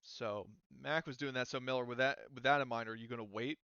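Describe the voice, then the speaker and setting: A man's voice: mid-range voice, brisk, sharp tone, clean tone, no echo, highs cut off. A man improvising narration as game master in a casual, rambling, hesitant way.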